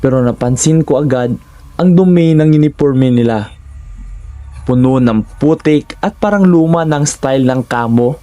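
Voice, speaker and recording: A man's voice: medium pitch, measured pace, mellow. A Filipino man narrating a first-person horror story in a dramatic, suspenseful storytelling manner.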